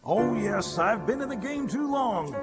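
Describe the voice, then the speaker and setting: A man's voice: very high-pitched, expressive, a little slow. An actor playing a kindly old male pharmacist about to retire, in a radio play skit. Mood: reassuring. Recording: loud music over the voice.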